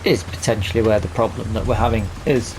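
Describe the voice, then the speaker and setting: A man's voice: fairly low pitch, steady tone, fairly quick, over music, low-quality recording. A man hosting a gaming video with casual let's-play commentary, rambling and thinking aloud as he plays. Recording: clear background game vehicle noise.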